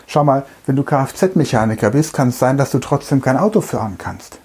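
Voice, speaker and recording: A man's voice: medium pitch, expressive, moderate pace, warm tone, slightly rough. A middle-aged man who is a language trainer, giving an explanatory talk and addressing the listener directly with everyday examples. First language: German. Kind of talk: explanatory lecture monologue.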